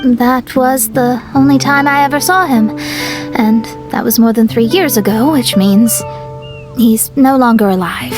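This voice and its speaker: Woman acting a proud, high-voiced young astrologer. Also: measured pace, music in the background, lightly acted. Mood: firm. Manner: formal, lofty, self-assured. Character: proud, serious young female astrologer, haughty and earnest. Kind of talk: video game voice acting.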